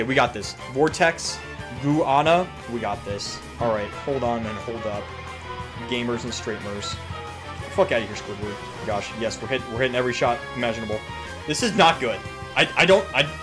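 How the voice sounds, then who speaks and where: An excitable young man giving casual, profane, slangy gameplay commentary, repeating himself as he goes. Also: mid-range voice, moderate pace. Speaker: gaming YouTuber. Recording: music behind the voice.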